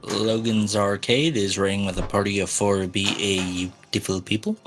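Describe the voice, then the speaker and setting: A man co-hosting a live stream over a voice call, his voice naturally loud and somewhat low. He cracks dry jokes in an easy chat tone, with music running behind him. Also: steady tone, normal speed.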